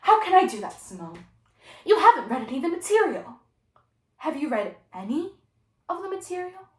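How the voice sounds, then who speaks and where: A woman's voice: high voice, big pitch swings, moderate pace, distant mic, voice pushed up. Casting: woman as earnest, weary-of-nonsense female teacher for solo stage drama, restrained and stern, echoey recording. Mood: pleading. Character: exasperated, earnest female teacher, a former psychiatrist. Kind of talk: solo dramatic interpretation performance.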